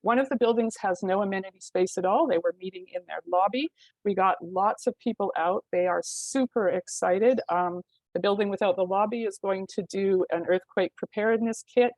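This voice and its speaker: A woman's voice: medium pitch, moderate pace, a little mellow, dry recording, low-quality recording. A woman who works with tenants in rental housing, speaking casually in a webinar with many ums and likes. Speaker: housing community worker. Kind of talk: webinar presentation. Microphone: video call.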